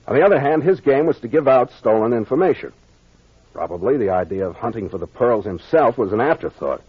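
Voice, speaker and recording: Old time radio detective captain, american, husky, swingy delivery, explains it all confidently, muffled vintage recording. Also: male voice, medium pitch. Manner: expository, authoritative, plain-spoken with gangster slang. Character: brisk, confident captain explaining a solved mystery. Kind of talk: 1940s old-time radio mystery acting.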